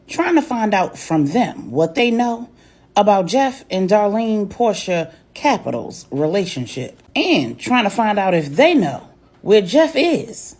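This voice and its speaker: A woman storyteller with a medium-pitched, slightly rough voice that moves widely up and down. She narrates a dramatic serial in colloquial, emphatic phrasing. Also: darkish tone.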